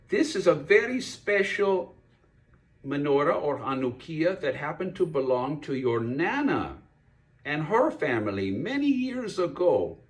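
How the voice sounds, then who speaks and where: A man voicing a friendly pig puppet who explains things haltingly to a child in a puppet show. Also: on the high side, heavily acted. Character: friendly kosher pig puppet teaching a child about Hanukkah.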